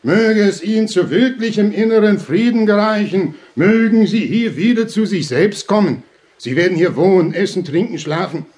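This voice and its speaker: A man's voice: high-pitched, animated, a little mellow. An actor playing an unctuous, domineering fatherly head of a halfway house, preachy and pompous, in a German audio drama. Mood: unctuous, preaching. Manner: preachy, pompous, drawn-out emphatic phrasing.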